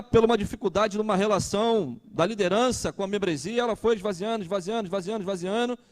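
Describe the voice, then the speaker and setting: High-voiced Brazilian pastor in his thirties. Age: 30s. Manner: conversational, asks the congregation questions. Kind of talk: sermon.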